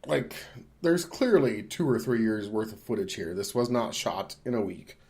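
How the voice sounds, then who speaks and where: A man's voice: fairly low pitch, animated, moderate pace, a little mellow. A man co-hosting a podcast, discussing film casually in a hesitant, rambling, conversational way. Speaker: podcast co-host.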